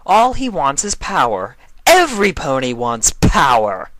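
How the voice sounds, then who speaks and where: Aggrieved dark alicorn queen for a cartoon story male performer, intense, theatrical, forceful edge. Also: on the high side, wildly swooping pitch, measured pace, slight background noise, pitched above the performer's own voice, heavily acted. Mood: exasperated, scornful. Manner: dramatic, impassioned delivery. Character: female pony alicorn villain Nightmare Moon, aggrieved and intense. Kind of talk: fan fiction reading, cartoon villain.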